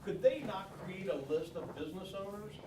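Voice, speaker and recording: A fairly high-voiced man speaks from far off in the audience, his halting words echoing around the room. Noise from the room sits under him. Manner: halting, restarts words while asking. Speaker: audience member asking a question. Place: audience seat at a live event. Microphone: far from the speaker's mic. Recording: distant, echoey, noisy room audio.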